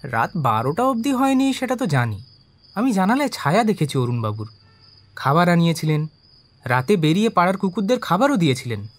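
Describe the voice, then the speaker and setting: An actor in an audio drama playing an eager, deferential small-time private investigator who earnestly defends himself to the police. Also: male voice, high voice, sing-song, brisk. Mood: eager, defensive.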